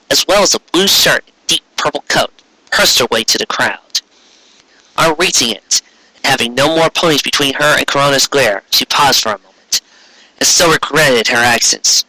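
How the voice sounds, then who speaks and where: A man's voice: medium pitch, sharp tone, no room sound, low-quality recording. A man reading fan fiction aloud as scripted narration, an amateur who sometimes stumbles and corrects himself.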